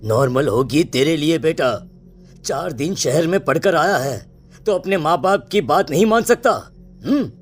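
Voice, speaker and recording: Cartoon village father, played by a man: fairly high voice, firm but anxious and halting. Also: expressive, faint music behind. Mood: stern worry. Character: worried, stern village father of a grown son. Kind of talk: animated storybook drama acting.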